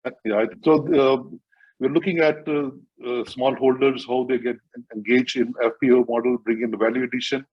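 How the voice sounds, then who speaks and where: A man presents at an online workshop, stopping often for fillers as he works through his points. His voice is a little husky and mid-pitched. The call audio is dull and muffled. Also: steady tone, brisk. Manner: hesitant, many fillers. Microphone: video call.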